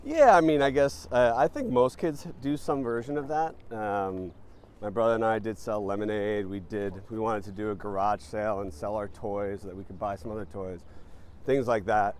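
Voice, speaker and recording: A startup founder answering interview questions in a relaxed, thoughtful way, with many ums and uhs. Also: male voice, on the low side, normal speed, slight background noise. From born in Panama, raised in New Jersey.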